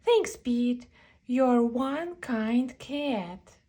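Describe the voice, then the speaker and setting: A woman's voice: fairly high pitch, expressive, extremely slow, warm tone. A woman voicing a friendly, curious animal friend in a children's storybook, calling out in a lilting way. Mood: grateful.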